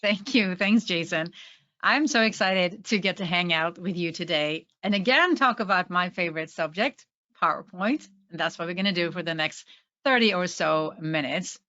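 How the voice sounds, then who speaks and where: A woman's voice: fairly low pitch, normal speed, sharp tone, lo-fi sound. Swedish-accented woman teaching a webinar. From Sweden. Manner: enthusiastic, friendly teaching. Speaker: presentation trainer. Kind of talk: webinar presentation.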